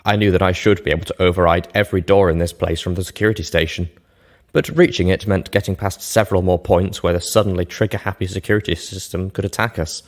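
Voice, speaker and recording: A man with a low, deep voice reads scripted fiction in the first person. The narration is measured and expressive, like a storyteller hosting a reading show.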